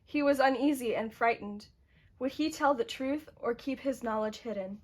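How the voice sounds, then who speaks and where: A woman's voice: fairly high pitch, moderate pace, a little mellow. A woman narrator reading scripted dramatic storytelling for a puppet show.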